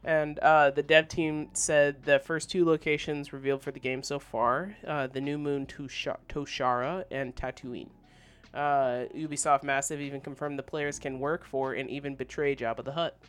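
A man's voice: on the high side, little pitch movement, moderate pace, a bit bright, clean tone. A man co-hosting a podcast, chatting and bantering about news in an informal, slangy way. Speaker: podcast co-host. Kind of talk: casual banter and news chat.

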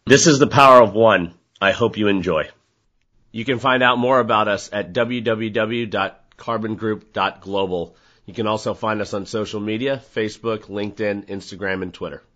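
A man's voice: lowish voice, moderate pace, fairly bright tone, dull audio. A man hosting a podcast interview, chatting casually and loosely, with lots of "you know" and "uh". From United States. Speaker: podcast host.

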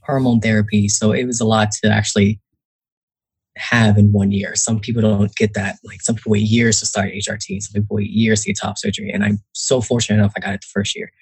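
A man's voice: on the low side, little pitch movement, quick pace, breathy edge, dry recording, rough recording. A young man from New Jersey, a guest author, chatting casually with many fillers and acted-out quotes. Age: young adult. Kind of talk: casual interview talk.

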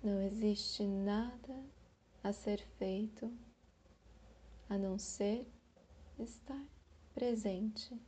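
A Brazilian woman guiding a meditation in a soothing, unhurried manner, with long pauses between short phrases. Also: medium pitch, steady tone, warm tone, breathy edge. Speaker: meditation guide. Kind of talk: slow guided meditation.

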